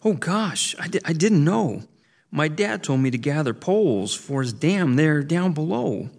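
A man's voice: a little high, sing-song, measured pace, dark tone, breathy edge, pitched above the performer's own voice, full character voice. A man voicing a frightened young male beaver who stammers and pleads. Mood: scared. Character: scared young male beaver, eager to please.

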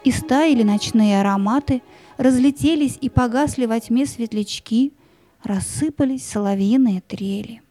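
A woman's voice: fairly high pitch, a little slow, mellow, dry recording. A woman narrating a scripted fairy-tale audio play as a storyteller, with music behind her.

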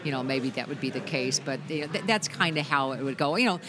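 A middle-aged woman with a deep voice, a musician guest chatting loosely in an interview, full of restarts and "you know". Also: fast, a crowd in the background. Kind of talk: casual interview chat.

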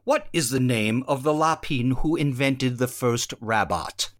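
A man playing a curt, deadpan rabbit android revolutionary who coolly threatens people in an audio drama. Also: mid-range voice, measured pace, a little hiss or hum. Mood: coolly menacing. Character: menacing deadpan rabbit android revolutionary, male, running a game show.

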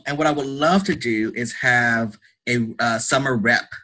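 Bright-voiced man, emphatic and earnest. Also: medium pitch, moderate pace. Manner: emphatic, earnest, repeats phrases for stress. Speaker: guest, theatre director and artist. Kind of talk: conversational interview answers.

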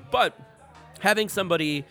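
A man's voice: high-pitched, animated, unhurried, sharp tone, soft background music. A man co-hosting a sports podcast, rambling casually with lots of fillers, restarts and swearing. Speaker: podcast co-host.

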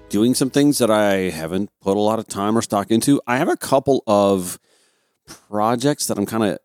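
A man's voice: fairly low pitch, no room sound, studio quality. A man from Georgia with an American accent, hosting a talk radio show in an emphatic, informal, conversational monologue. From Georgia, United States.

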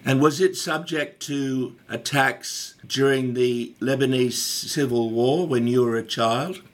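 A man asks an interviewee calm, measured questions in a radio interview. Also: medium pitch.

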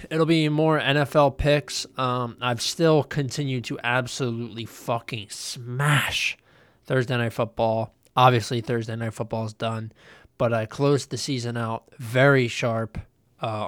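A man's voice: mid-range voice, fairly bright tone, dry recording. A young man hosting a casual solo podcast, nasal and congested from a cold, rambling loosely with lots of ums and uhs. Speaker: podcast host.

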